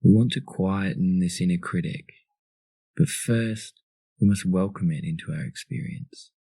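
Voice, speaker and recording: A man leading a guided meditation in a calm, soothing, instructional manner. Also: deep voice, measured pace, vocal fry, breathy edge, no echo, poor audio.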